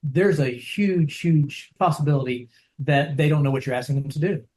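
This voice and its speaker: A man who trains dogs, talking casually over a video call, soft-spoken and rambling, often checking in with "right?". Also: a little high, moderate pace. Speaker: dog trainer.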